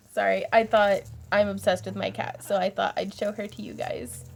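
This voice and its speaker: A woman's voice: mid-range voice, lively intonation, unhurried, gravelly. A woman hosting a casual craft livestream, chatty and laughing often.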